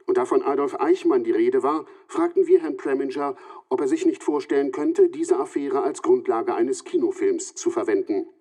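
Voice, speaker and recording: An actor as a mellow, formal radio newsreader. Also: male voice, mid-range voice, breathy edge, natural voice. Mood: measured, formal. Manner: formal, measured delivery. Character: measured radio news reporter narrating a 1960s broadcast. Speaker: radio news presenter. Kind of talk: scripted radio news narration.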